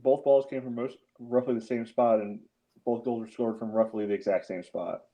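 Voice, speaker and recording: A man's voice: mid-range voice, steady tone, normal speed. A man co-hosting a sports podcast in casual post-game chat, on a slightly dull lo-fi recording. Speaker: podcast co-host.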